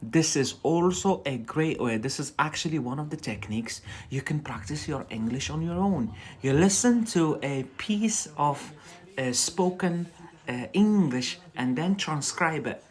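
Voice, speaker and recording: A man's voice: fairly high pitch, animated, unhurried. A man with a Scottish accent teaching English on a live stream, chatty and loose, tagging sentences with "yeah?" and repeating words for practice.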